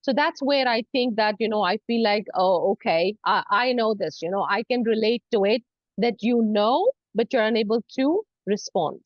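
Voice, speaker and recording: A woman from Saudi Arabia with a fairly high, very animated voice answers interview questions in English, which is not her first language. She explains earnestly and conversationally, peppered with "you know". The sound is close and dry but a little lo-fi. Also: normal speed, no echo. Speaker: guest, university lecturer in academic English.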